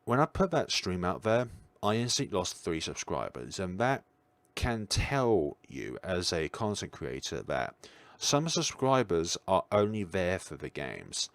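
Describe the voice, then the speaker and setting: A man's voice: low voice, sing-song, unhurried, no echo. A tired man musing aloud in a casual livestream chat, answering his viewers' questions as he goes. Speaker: streamer.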